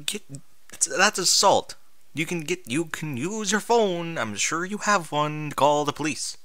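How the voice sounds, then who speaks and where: A man's voice: a little high, animated, a little slow, sharp tone, no echo. A man reading a story aloud with exasperated, sarcastic, sweary commentary. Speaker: host reading and mocking amateur fan fiction aloud.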